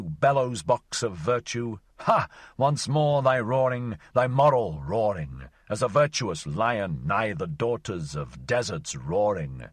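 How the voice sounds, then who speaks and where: A wry, theatrical wandering shadow, read by a man in an audiobook. The voice is low and husky and moves through a wide range of pitch. The recital is mock-solemn and declamatory, set against a dry, close sound. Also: fairly bright tone, no echo, exaggerated voice. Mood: declaiming. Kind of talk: audiobook reading of archaic verse.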